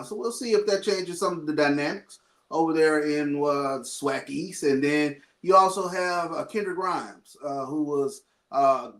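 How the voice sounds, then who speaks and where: A man with a cold giving casual football and basketball updates, measured and full of fillers. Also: a little high, a bit bright. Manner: measured, thinking aloud with fillers. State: has a cold. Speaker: sports reporter. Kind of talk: casual sports report.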